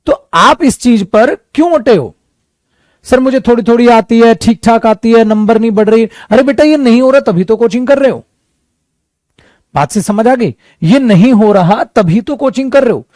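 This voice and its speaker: A man teaching an English coaching class for competitive exams, talking to students as "beta" and "mere dost" and acting out their doubts in a lively way. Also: very high-pitched, moderate pace, no echo, studio quality.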